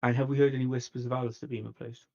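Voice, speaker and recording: A man voicing a reasonable, diplomatic vampire negotiator in a tabletop roleplay, halting and polite, trying to conciliate. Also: mid-range voice, little pitch movement, vocal fry, a little mellow, lo-fi sound, close to an everyday voice. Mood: earnest, bargaining.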